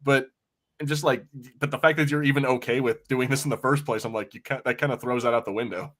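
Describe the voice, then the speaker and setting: Mid-pitched man hosting a casual podcast. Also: steady tone, fast talker, a bit bright. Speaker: podcast host. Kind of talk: casual review chat.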